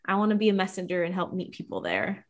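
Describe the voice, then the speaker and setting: A woman's voice: medium pitch, big pitch swings, fast talker. A middle-aged woman talking casually and earnestly about faith as a podcast guest, with emphatic, informal phrasing. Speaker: podcast guest, Bible study author.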